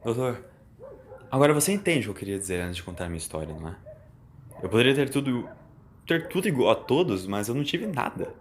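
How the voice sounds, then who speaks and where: A man's voice: mid-range voice, very animated, fast, a little hiss or hum, natural voice. A man voicing a poor, homeless young man, earnest and stubbornly determined, in an audio story. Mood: earnest, resolute.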